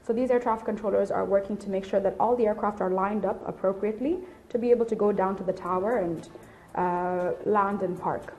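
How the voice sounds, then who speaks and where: A woman's voice: medium pitch, fairly even intonation, fairly quick, a little mellow. A woman in her late twenties giving candid, articulate explanations in an interview. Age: late 20s. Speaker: air traffic control officer and instructor being interviewed.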